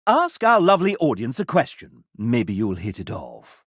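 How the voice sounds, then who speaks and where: A man voicing a sassy, pompous, playful gentleman who hosts and narrates a video game in a showy, theatrical way. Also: medium pitch, moderate pace, breathy edge, no room sound, clean studio sound, exaggerated voice. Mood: dry, sardonic. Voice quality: animated theatrical delivery. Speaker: game show style host and narrator.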